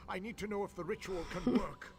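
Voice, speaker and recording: An actor playing a scholarly, impatient fantasy-game mage who muses pedantically and talks down to people. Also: male voice, high-pitched, a little fast, a little mellow, faint music behind. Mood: intent. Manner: pedantic, musing, condescending. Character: scholarly elf mage, fascinated by spirits, impatient. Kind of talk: video game character acting.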